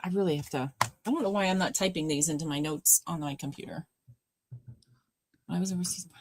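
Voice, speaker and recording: A woman with a deep voice hosting a live watch party, chatting casually and answering viewers' comments by name. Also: brisk, slight background noise. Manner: chatty, warm replies to chat, addresses viewers by name. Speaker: livestream host.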